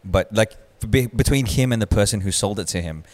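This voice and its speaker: A man's voice: on the low side, brisk, a little mellow. A man co-hosting a casual podcast, reasoning things out aloud and stumbling over his words as he explains. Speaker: podcast co-host. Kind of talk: casual chat.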